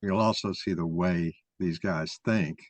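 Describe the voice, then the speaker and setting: A man's voice: sits low, unhurried, dry recording. A man giving an explanatory talk in an opinionated, didactic way, taking questions as he presents his argument.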